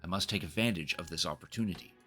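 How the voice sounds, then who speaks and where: Guy with a low forceful voice reading game text on stream, trips over words a bit, music in back. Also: quick pace. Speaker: game livestream host. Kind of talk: reading game dialogue aloud and chatting to stream viewers. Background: video game music.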